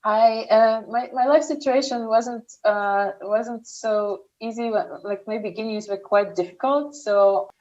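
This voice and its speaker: A woman's voice: a little high, steady tone, a little mellow, muffled recording. Young Eastern European woman, careful speech. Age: young adult. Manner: speaks slowly and carefully, with frequent restarts. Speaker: startup founder, interview guest. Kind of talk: interview answers.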